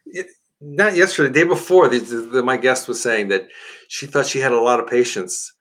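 An older man chats as the host of an informal interview. His pitch is average for a man, and he talks in a loose, conversational way, doubling back on words as he forms his questions. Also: fairly quick, a bit bright. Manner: chatty, repeats words as he goes.

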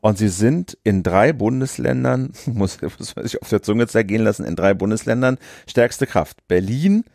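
A podcast co-host with a low, gravelly, mellow voice chats easily about politics and fills his pauses with little sounds. The recording is dry and close, with no room around him. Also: dry recording. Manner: relaxed, conversational, frequent fillers. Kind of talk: casual political podcast talk.

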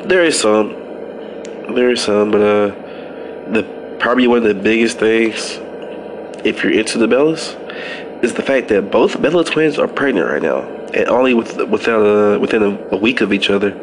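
A man with a somewhat low voice and a stuffy, congested nose hosts a casual podcast. He rambles in an offhand way, restarting sentences and leaning on fillers as he talks things through. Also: normal speed, background noise. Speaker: podcast host. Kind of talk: casual wrestling review podcast.

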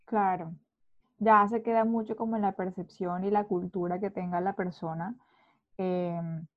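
A woman with a mid-range voice, interviewing a guest in a relaxed conversation. She asks her questions politely and at a measured pace. Her voice comes through thin and tinny, like a phone line. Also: medium pitch, dry recording. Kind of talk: casual interview.

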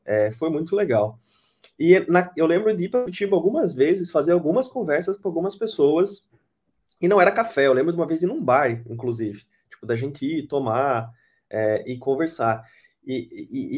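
A man's voice: fairly high pitch, darkish tone. Brazilian countryside man, strong retroflex R. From Brazil, countryside interior. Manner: reflective, storytelling, hesitant restarts. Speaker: podcast guest, UX designer. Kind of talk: casual podcast discussion.

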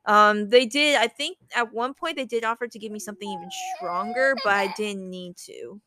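A woman's voice: a little high, sing-song, moderate pace, very noisy. A young woman telling her own story as a podcast guest in a casual, chatty way, giggling as she talks. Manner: chatty, giggles while talking.

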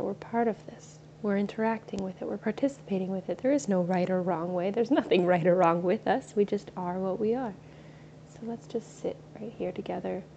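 Soft-spoken woman guiding a meditation. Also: medium pitch, creaky. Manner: slow, soothing, soft-spoken. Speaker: meditation guide. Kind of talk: guided meditation. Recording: slightly dull recording with faint ambient noise.

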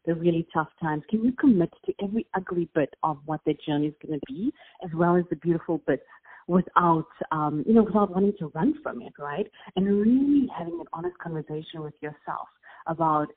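A woman's voice: fairly low pitch, expressive, fairly quick, husky. A woman from South Africa with a South African accent, a business founder answering interview questions in an earnest, reflective way. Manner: earnest, reflective, with fillers and restarts. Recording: tinny phone line.

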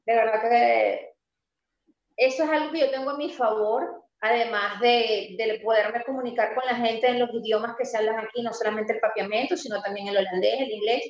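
High-voiced animated woman in a roomy space. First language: Spanish. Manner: animated and emphatic, stressing points slowly, laughs easily. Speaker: interview guest, pastry entrepreneur. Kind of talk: casual conversational interview. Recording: roomy, slightly dull sound.

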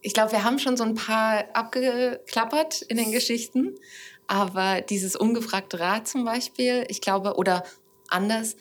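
A woman's voice: fairly high pitch, a little slow. A woman hosting a podcast, asking questions in an informal, conversational way. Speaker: podcast host interviewing a guest. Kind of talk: casual interview chat.

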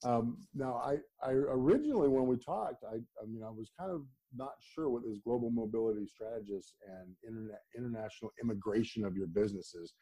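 A man hosting a casual podcast interview, talking in a halting, conversational way with frequent restarts. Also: medium pitch, dark tone, poor audio. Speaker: podcast host.

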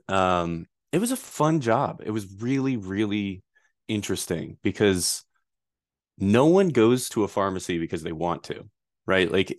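A man in his thirties from Rhode Island, a guest answering interview questions in a relaxed, rambling way with frequent fillers. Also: low voice, no room sound, studio quality. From Rhode Island, USA. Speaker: guest, privacy attorney.

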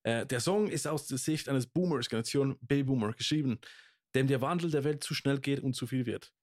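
A man in his twenties with a Swiss German accent, co-hosting a casual podcast and often pausing on fillers and restarts. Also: mid-range voice. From Switzerland. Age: 20s. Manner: hesitant, lots of fillers and restarts. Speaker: podcast co-host. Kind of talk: casual chat.

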